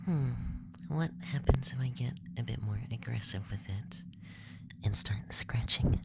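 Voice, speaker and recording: A man playing a curious young alien examiner in a whispered ASMR roleplay, speaking in measured, scientific phrases close to the microphone. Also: medium pitch, creaky, very noisy. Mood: curious, teasing.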